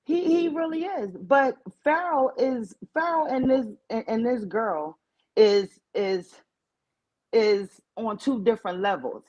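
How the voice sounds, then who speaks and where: A woman's voice: fairly high pitch, slow pace, darkish tone, muffled recording. A woman in her forties calling in to a talk show, firm and argumentative as she pushes her point. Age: 40s. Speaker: caller. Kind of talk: casual call-in chat.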